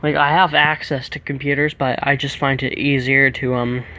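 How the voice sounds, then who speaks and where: A teenage boy rambling through an early-morning vlog in a monotone, halting voice with many fillers, into a microphone plugged into a tablet. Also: medium pitch, lo-fi sound. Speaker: vlogger. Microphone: plug-in microphone on a tablet.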